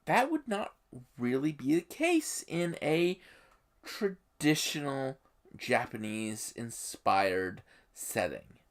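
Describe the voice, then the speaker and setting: A YouTube host giving explanatory commentary on a game setting, speaking emphatically and haltingly, with pauses. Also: mid-range voice, very animated.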